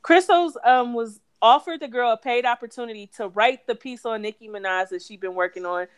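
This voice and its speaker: A woman in her thirties trading opinions on a podcast, emphatic and profane. Her voice sits a little high and sounds dry and close, with no room around it. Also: brisk, clean tone, no room sound. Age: 30s. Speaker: podcast co-host. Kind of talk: casual chat.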